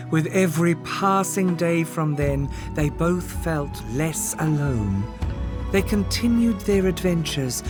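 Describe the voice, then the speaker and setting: A storyteller reading a children's fairytale aloud in an expressive, measured way, with dramatic scripted delivery. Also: mid-range voice. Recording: music under the voice. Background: background music.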